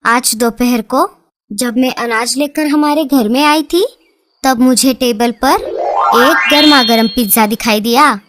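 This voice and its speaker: A woman's voice: fairly high pitch, unhurried, natural voice. A woman voicing a chatty mother bird. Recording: loud background music.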